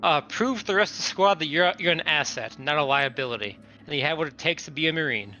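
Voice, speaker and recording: A man's voice: on the high side, fast talker, bright, forceful tone. A man playing a tabletop game, talking casually and reading out character notes. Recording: dull lo-fi mic, faint noise.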